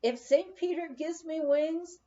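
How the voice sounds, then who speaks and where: A woman reading a memoir aloud as scripted narration, in measured short phrases with pauses. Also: very high voice, dark tone. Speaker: audiobook reader of a memoir. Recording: dull, lo-fi recording.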